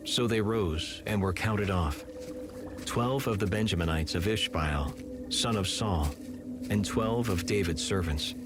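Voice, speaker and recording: A man narrating scripture from a script in a measured, solemn manner. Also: on the low side, noisy background. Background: music.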